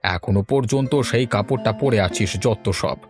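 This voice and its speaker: A man's voice: fairly low pitch, music in the background, close to an everyday voice. A man voicing a snobbish middle-aged father in a cartoon, brusque and grumbling, with disdain for the poor. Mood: disdainful. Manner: brusque, sneering, quick to grumble. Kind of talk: Bengali cartoon voice acting.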